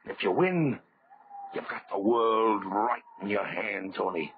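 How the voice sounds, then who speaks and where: Pushy pleading sea captain, lowish voice, old radio drama with dull hissy sound. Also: male voice, lively intonation, slow. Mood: urgent, coaxing. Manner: urgent, pleading, insistent. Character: desperate, cajoling ship's captain, persuasive and urgent. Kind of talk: 1930s radio drama acting. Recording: muffled old radio recording, heavy noise.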